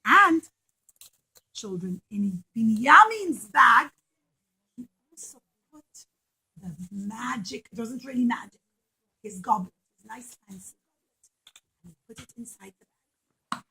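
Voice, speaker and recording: A woman teacher telling a story to young children in an animated way, with simple, repetitive, emphatic phrasing. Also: fairly high pitch, measured pace, gravelly, pauses often, husky, low-quality recording.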